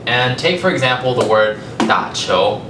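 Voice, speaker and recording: Young male presenter, American accent, conversational storytelling with measured pauses; roomy, noisy recording. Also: lively intonation. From California, United States. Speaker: language company founder giving a talk. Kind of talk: public talk, personal storytelling. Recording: echoey, distant mic, rumbling noisy room.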